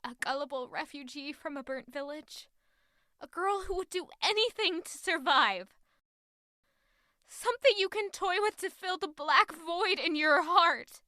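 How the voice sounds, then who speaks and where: Fantasy audio drama: stubborn betrayed young refugee played by a woman, measured, intense, accusatory, piercingly bright, dry recording. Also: extremely high pitch, lively intonation, no echo, exaggerated voice. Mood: bitter, hurt. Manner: intense, accusatory, emotionally charged.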